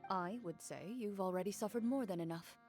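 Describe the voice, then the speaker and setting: A woman playing a composed, honourable hunter and duelist in a video game, speaking in a measured, formal way. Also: mid-range voice, a little mellow, soft background music, lightly acted. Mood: magnanimous.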